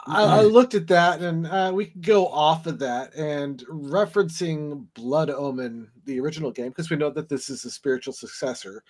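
A man's voice: a little high, normal speed, few pauses, a little mellow. A man on a casual podcast who muses and speculates, restarting his sentences often. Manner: speculative, rambling with repeated restarts. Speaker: podcast guest. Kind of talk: casual chat.

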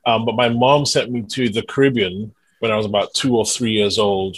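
A man's voice: fairly low pitch, fairly even intonation, fairly quick, slightly rough, no room sound, poor audio. A London-born man, a singer and songwriter, talking enthusiastically as a podcast guest in casual conversation. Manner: enthusiastic and effusive, laughs easily. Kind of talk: casual interview chat.

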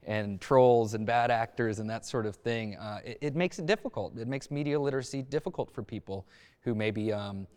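A man speaking as a journalist on a discussion panel, thoughtful and halting as he searches for words. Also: mid-range voice, a little mellow, clear, resonant tone. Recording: echoey hall-like reverb.

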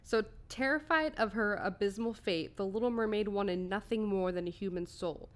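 A woman's voice: medium pitch, normal speed. Female podcast storyteller, casual and comedic, partly scripted, with a playful, sweary, giggly streak. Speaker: podcast co-host telling a story.

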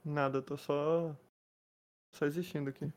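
A man's voice: on the high side, little pitch movement, normal speed. A nineteen-year-old Brazilian man co-hosting a podcast, chatting casually with friends in blunt slang. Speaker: podcast co-host.

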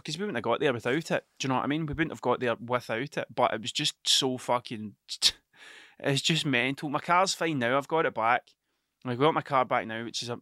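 A middle-aged Scottish man with a broad Ayrshire accent tells a long, rambling story, swearing freely and checking in with "do you know what I mean". His voice sits a little high and sounds bright and forward. The recording is close and dry, with no room sound. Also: no echo. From Ayrshire, Scotland. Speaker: podcast host. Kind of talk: casual storytelling monologue.

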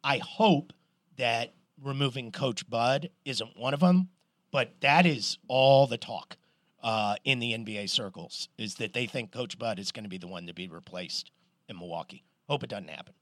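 Animated middle-aged man talking sports. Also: mid-range voice, moderate pace, dry recording. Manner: animated storyteller, swears, restarts a lot. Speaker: podcast co-host. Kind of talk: casual sports chat.

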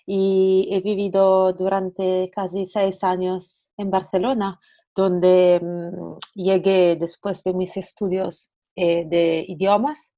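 A young Italian woman with an Italian accent, a podcast guest talking hesitantly with many pauses. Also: on the low side, little pitch movement. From Italy. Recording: dull lo-fi sound.

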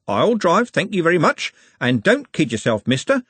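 A brash cart driver from a storybook voiced by a male narrator. He banters bluntly in a raised, animated voice, slightly husky and closely recorded. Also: fairly high pitch, normal speed, no echo, pitched above the performer's own voice, heavily acted. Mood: cheeky, blunt.

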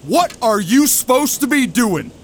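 A man playing a gruff, bullying 1890s logging camp boss, barking folksy insults and orders in an audio drama. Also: very high-pitched, big pitch swings, unhurried, a little hiss or hum, heavily acted. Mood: angry, barking. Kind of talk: dramatic acting in an audio storyboard.

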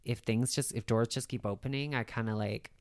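A woman's voice: rumbling low, steady tone, brisk, a little mellow. A woman guest in a casual interview, talking informally with lots of filler words and the odd laugh.

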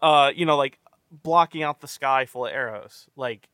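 A man with a bright, forceful voice hosts a casual movie-review podcast. He rambles, restarts his sentences with stammers and swears freely. The sound is close and dry. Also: medium pitch, moderate pace, clear, resonant tone, dry recording. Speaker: podcast host.

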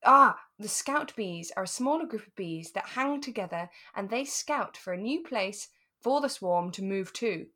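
A woman podcast co-host with a mid-range voice chats casually as she recaps a drama. She retells scenes in an animated way, slipping into quotes of what the characters said. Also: medium pitch, normal speed. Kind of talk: relaxed chat recapping a radio drama.

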